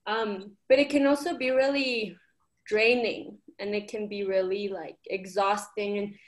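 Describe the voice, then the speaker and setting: A woman's voice: medium pitch, fairly even intonation, a little slow. A young woman from Ecuador, a program coordinator, talking earnestly and casually with many fillers. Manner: earnest, uses many fillers like "like" and "you know". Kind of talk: casual conversational reflection.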